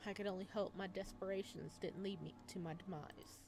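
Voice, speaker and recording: A woman's voice: medium pitch, steady tone, a little fast. A woman hosting a gaming video, reading a visual novel aloud and adding casual, slangy asides. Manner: informal, slangy, reacts in asides.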